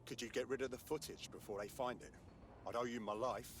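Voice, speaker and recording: An actor playing a frightened street artist in a video game, talking in a nervous, pleading, colloquial way. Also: male voice, medium pitch, fairly even intonation, a little fast, a little hiss or hum, lightly acted. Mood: anxious, pleading. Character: frightened male street artist who witnessed violence. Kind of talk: dramatic video game acting.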